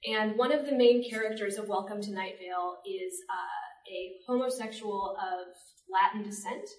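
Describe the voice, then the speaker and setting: A woman's voice: medium pitch, normal speed, darkish tone. A woman moderating a live panel discussion, speaking to the room as she poses topics to the panel. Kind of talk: spoken panel discussion before an audience. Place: panel at a public library, indoors. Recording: roomy, distant library event recording.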